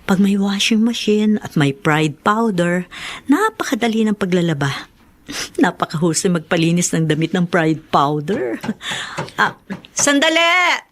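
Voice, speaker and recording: A woman's voice: mid-range voice, big pitch swings, unhurried. Chatty, opinionated Filipino mother. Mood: cheerful. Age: middle-aged. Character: chatty, opinionated middle-aged mother and mother-in-law. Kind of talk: Tagalog radio drama acting.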